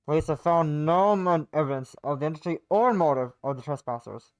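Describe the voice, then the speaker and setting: A formal news reporter acted by a woman declaims in a loud, low voice. The delivery is halting, in an amateur drama reading, and the sound is dry and a little dull. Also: lively intonation, dry recording.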